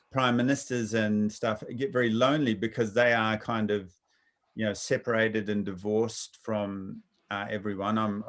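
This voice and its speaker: A man hosting a casual conversation, reflecting at length and rambling through his points. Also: lowish voice. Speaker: interviewer. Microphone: video call.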